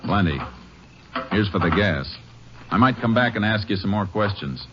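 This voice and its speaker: An actor playing a calm, methodical Texas Ranger detective in a 1950s radio drama, reasoning in a plainspoken way. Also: male voice, fairly low pitch, normal speed, heavy background noise, lightly acted. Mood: questioning. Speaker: Texas Ranger lead and narrator. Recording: dull lo-fi 1950s radio broadcast.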